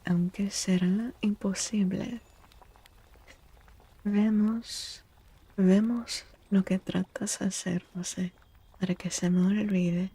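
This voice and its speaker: A woman speaking in a soft ASMR style as a streamer doing a tarot reading, hushed and often slipping into whispers. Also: medium pitch, husky, low-quality recording.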